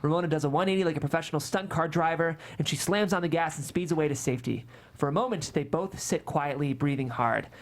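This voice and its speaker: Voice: mid-range voice, fast. A narrator reading scripted screenplay stage directions aloud at a table read.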